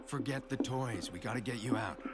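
A man's voice: medium pitch, a little fast, natural voice. An actor voicing a terse, serious, commanding vigilante hacker in his thirties for a video game. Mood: urgent, commanding. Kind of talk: video game dialogue and narration. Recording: music behind the voice.

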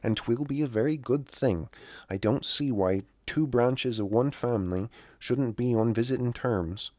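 A man's voice: mid-range voice, a little slow, darkish tone, no echo, voice pushed up, lightly acted. A cheerful rural wife in a classic novel, acted by a male reader. The voice is lifted above the narration and speaks eager, scheming dialect. The sound is close and dry.

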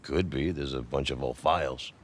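An actor playing a gruff, corrupt middle-aged prison warden in a video game, terse and commanding, with a Latin American Spanish accent. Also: male voice, rumbling low, normal speed, a little hiss or hum. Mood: guarded. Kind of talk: naturalistic video game acting. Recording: faint game ambience behind.

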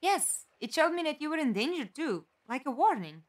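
A woman's voice: high voice, very animated, normal speed. A woman playing an inquisitive, practical companion in an audio drama, direct and questioning. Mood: curious, probing.

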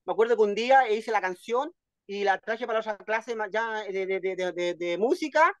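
A man's voice: high voice, moderate pace, no echo. Chilean guy telling stories on a video call, repeats himself, dry sound. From Valparaíso, Chile. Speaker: guest, poet. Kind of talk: casual storytelling in an interview.

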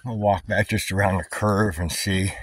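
A man's voice: low voice, steady tone, normal speed, husky. A man thinking aloud as he films himself walking a wooded trail outdoors, wondering about what he sees. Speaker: hiker vlogger. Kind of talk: casual walking commentary. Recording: slightly distant mic, faint background noise.

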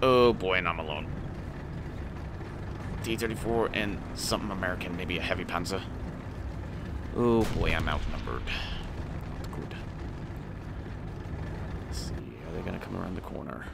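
A man with a hoarse, failing voice giving playful, excited casual commentary on a game, with mock-military banter. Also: deep voice, unhurried, pauses often. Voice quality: hoarse, strained voice. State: losing his voice. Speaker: gaming video creator. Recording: noisy, game gunfire and engines behind. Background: tank game gunfire and engine noise.

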